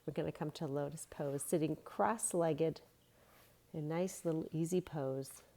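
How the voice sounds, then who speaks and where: A woman reading a storybook aloud as a children's yoga teacher, giving simple, gentle guiding instructions. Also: sits low, unhurried.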